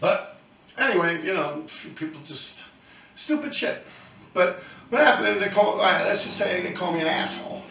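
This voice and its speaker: A man's voice: high-pitched, lively intonation, moderate pace, vocal fry, a bit bright, roomy sound, recorded from a distance, a little hiss or hum, low-quality recording. A man, talking bluntly and profanely to his viewers in a rambling monologue. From New York City. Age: 50s. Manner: blunt, profane, talks in loose run-on asides. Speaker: YouTube channel host, graffiti writer.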